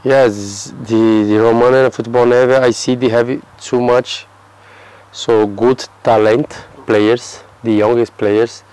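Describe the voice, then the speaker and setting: A man in his thirties from Brazil, answering interview questions in halting English with a Brazilian Portuguese accent and many restarts. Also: medium pitch, level tone, darkish tone, smooth, poor audio. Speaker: football player. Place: outdoor terrace by a yellow building.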